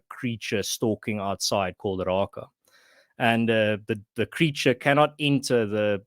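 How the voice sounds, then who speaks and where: Man from South Africa, Afrikaans first language, lowish voice, dry sound, thoughtful, restarts. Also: no echo. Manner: thoughtful, many restarts and fillers. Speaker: podcast guest, writer. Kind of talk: casual conversation.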